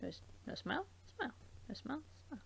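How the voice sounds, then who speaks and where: A woman hosting a let's play, chatting casually in a rambling, halting way and circling back mid-thought. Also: a little high, sing-song, vocal fry, fairly bright tone, breathy edge. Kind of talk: casual gaming chat.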